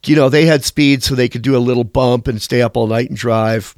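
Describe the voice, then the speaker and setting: A man's voice: mid-range voice, fairly even intonation, a little fast, dry recording, studio quality. A man being interviewed as a musician, telling candid, rambling stories and swearing casually. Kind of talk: candid conversational storytelling.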